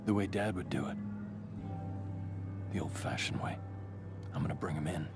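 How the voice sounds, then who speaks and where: A gruff, brooding rogue cop from a video game. His voice is low and gravelly, his delivery terse and hard-boiled, with loud sound behind him. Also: moderate pace, over music. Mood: grim, determined. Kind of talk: dramatic video game voice acting.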